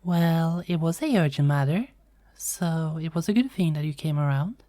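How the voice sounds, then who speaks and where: A woman's voice: low-pitched, lively intonation, moderate pace, dark tone, no room sound, clean studio sound. A woman playing a teasing, kindly Norse goddess in a conversational fantasy audio roleplay. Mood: friendly. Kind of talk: fantasy ASMR roleplay acting.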